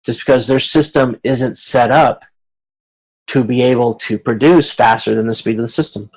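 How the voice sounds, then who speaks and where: A man teaches a class over a video conference in an informal, conversational style. He explains in plain colloquial terms, slipping into quoted hypothetical remarks to illustrate. His voice sits at an ordinary male pitch. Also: moderate pace, husky, rough recording. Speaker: lecturer in supply chain management.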